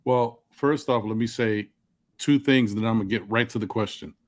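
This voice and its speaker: A man with a deep voice and precise, articulate enunciation, talking reflectively about himself in a group discussion. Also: moderate pace, dry recording. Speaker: panel participant.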